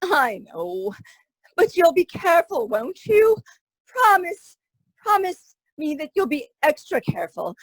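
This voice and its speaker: A woman's voice: extremely high pitch, very animated, measured pace, no room sound, heavily acted, poor audio. A woman playing a fretful, loving mother of a grown son in an old-time radio drama, tender and pleading. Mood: fond worry.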